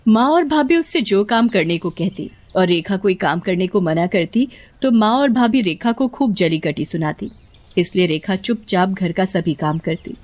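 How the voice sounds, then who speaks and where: A woman narrator reading a scripted children's story. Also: medium pitch.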